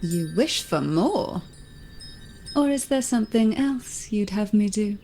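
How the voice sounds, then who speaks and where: Slow, poised noblewoman. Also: on the low side, darkish tone, a little hiss or hum. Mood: teasing. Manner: formal, measured, deliberate, coolly teasing. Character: poised, teasing noblewoman heading a powerful family. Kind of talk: anime-style video game voice acting.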